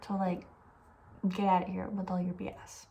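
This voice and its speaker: A woman speaking softly with breathy, near-whispered edges, chatting casually and rambling with playful opinions as an ASMR host. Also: lowish voice, monotone, normal speed. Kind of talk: soft-spoken ASMR casual chat.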